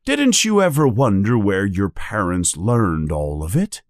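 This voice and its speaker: A man voicing a booming giant gamekeeper in a storybook, hot-tempered yet kindly, with an emphatic, exclaiming way of talking. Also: very animated, unhurried, dry recording, studio quality. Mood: shocked.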